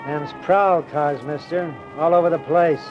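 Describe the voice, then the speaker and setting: Man playing nosy high-pitched American cabbie. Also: fairly even intonation, measured pace, over loud music, lightly acted. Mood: eager, obliging. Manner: folksy, slangy, inquisitive. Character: nosy, chatty working-class American male cab driver. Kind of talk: 1940s radio drama acting. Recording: muffled old radio recording, street noise.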